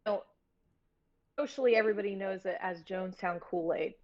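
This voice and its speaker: A woman's voice: medium pitch, a little fast. Woman chatting casually, slightly dull audio. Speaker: podcast guest, horror producer. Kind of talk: casual chat.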